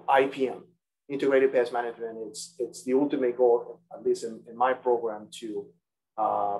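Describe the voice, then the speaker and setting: A man who grew up in Peru gives an academic seminar over a video call. His delivery is halting, full of restarts and repeated words as he works through his points. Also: mid-range voice, a little mellow, poor audio. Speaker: seminar speaker, entomologist.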